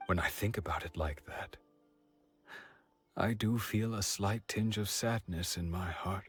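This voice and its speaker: A man's voice: on the low side, fairly bright tone, husky, no echo, soft background music. An actor playing a wistful, resigned middle-aged village man in a video game, musing and patient. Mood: resigned, pensive. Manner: slow, musing, sighing delivery.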